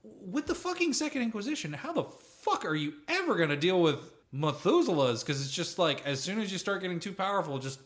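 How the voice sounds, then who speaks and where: A man co-hosting a casual tabletop gaming chat, rambling through anecdotes with frequent swearing and an animated storytelling manner. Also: high voice, fairly quick, few pauses. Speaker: podcast co-host. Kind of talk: casual chat about tabletop role-playing.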